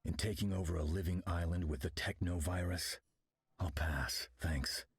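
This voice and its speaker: Very deep, raspy, surly brawler. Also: male voice, normal speed, full character voice. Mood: sardonic. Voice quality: gravelly, raspy. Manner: blunt, sardonic. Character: gruff, surly, combative male mutant brawler. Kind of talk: video game voice acting.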